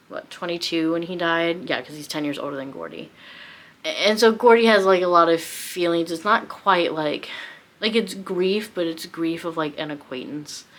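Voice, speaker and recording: A woman's voice: on the low side, fairly bright tone. A woman talking casually and unscripted as a book vlogger, thinking aloud in a rambling, self-deprecating way.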